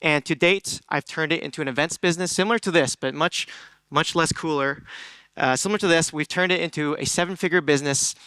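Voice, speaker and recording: Young guy from hong kong doing a stage talk, bright crisp voice, dry sound, lots of ums and questions to the crowd. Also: fairly high pitch, fast talker, no room sound, low-quality recording. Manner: earnest, asks the audience questions, frequent ums and restarts. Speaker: conference speaker.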